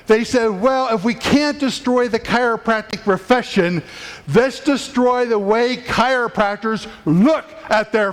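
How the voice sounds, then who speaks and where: A man giving an emphatic, preachy talk to fellow professionals in a conference room. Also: sits high. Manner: preachy, emphatic, builds up intensity. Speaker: chiropractic speaker. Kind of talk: motivational talk to a live audience.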